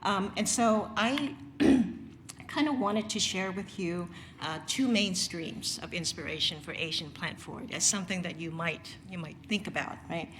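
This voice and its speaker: Chatty chef woman, lowish voice. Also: measured pace, fairly bright tone, a little hiss or hum. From Vietnam. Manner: conversational, many fillers and restarts, asks audience questions. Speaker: chef presenter. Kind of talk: conference presentation.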